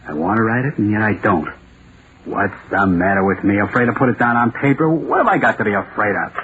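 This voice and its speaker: An actor playing a nervous, self-doubting American writer in an old-time radio drama, talking to himself in a halting, theatrical way. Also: male voice, fairly low pitch, a bit bright, close to an everyday voice. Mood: nervous musing. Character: jittery, self-doubting male radio playwright sliding into terror. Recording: tinny, noisy old radio recording.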